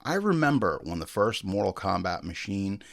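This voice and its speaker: A middle-aged American man talks casually as a podcast host, with a lowish voice in a very dry recording. He jokes freely and leans on hyperbole and swearing. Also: big pitch swings, normal speed, gravelly, no room sound. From Long Island, New York, United States. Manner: hyperbolic, joking, profane. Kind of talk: casual humorous monologue.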